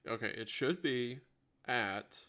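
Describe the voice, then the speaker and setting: A man's voice: mid-range voice, slow pace, sharp tone. A man hosting a livestream in casual unscripted chat, rambling and thinking aloud as he multitasks. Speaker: livestream host.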